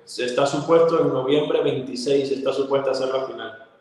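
This young man speaks in a Cuban accent, fluent and storytelling, with fillers between thoughts. His voice rings out in a bare, reverberant room with a long tail. The pitch sits at an ordinary middle for a man. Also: steady tone, a little fast, a little mellow. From Cuba. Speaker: guest, actor and model. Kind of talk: casual interview answers. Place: indoor room, plain wall. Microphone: video call.

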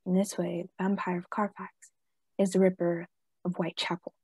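A woman playing a grave, authoritative vampire-hunting professor in a radio play, formal and deliberate, with a husky voice. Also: on the low side, flat delivery, darkish tone, dry recording, clean studio sound. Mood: grave explaining. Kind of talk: theatrical radio play acting.

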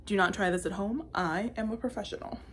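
A woman's voice: medium pitch, brisk, a little hiss or hum. A woman in her twenties reading a children's picture book aloud in a playful, theatrical manner. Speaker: children's story-time reader. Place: indoor room with bookshelves.

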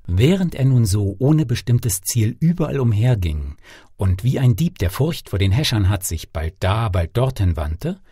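A man reading a fairy tale as an audiobook narrator, expressive and theatrical, with deliberate phrasing. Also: fairly low pitch, husky, no room sound, studio quality. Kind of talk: expressive scripted audiobook storytelling.

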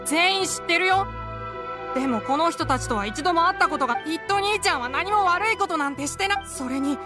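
A woman's voice: extremely high pitch, lively intonation, brisk, over music. A woman voicing an earnest, timid little village boy in an anime game, with a childlike voice. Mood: upset, pleading.

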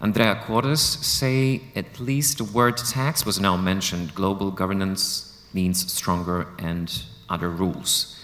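Deep-voiced man reading out comments. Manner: steady, reading aloud. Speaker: social media reporter. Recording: long hall reverb.

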